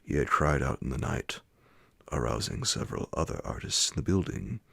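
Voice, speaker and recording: A man reading classic horror fiction as an ASMR bedtime audiobook, hushed and deliberate, with a gravelly, breathy voice that slips toward a whisper, close to the microphone. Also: extremely low pitch, expressive, no room sound. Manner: hushed, slow, deliberate phrasing. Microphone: close, dry microphone.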